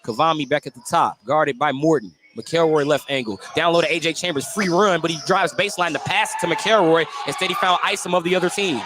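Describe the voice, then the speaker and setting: A man's voice: fairly high pitch, fairly quick, few pauses. A man doing live radio play-by-play of basketball from courtside, describing each move in clipped, detailed phrases. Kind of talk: live sports play-by-play commentary. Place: courtside in a school gym. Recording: noisy live gym broadcast.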